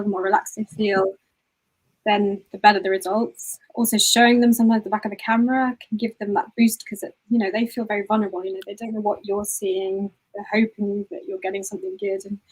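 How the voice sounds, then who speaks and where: A fast-talking woman with a breathy, slightly husky voice. She talks in a loose and chatty way and often says "you know." She sounds like a guest on a video call. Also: mid-range voice, a little mellow, rough recording. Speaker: guest photographer. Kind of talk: casual interview answers.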